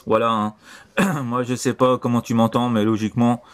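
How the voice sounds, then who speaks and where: A man's voice: medium pitch, level tone, normal speed, clear, resonant tone. A man from France with a French accent, chatting casually and repetitively as an amateur radio operator over a transceiver. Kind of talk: casual radio contact chat. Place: voice over CB shortwave radio link.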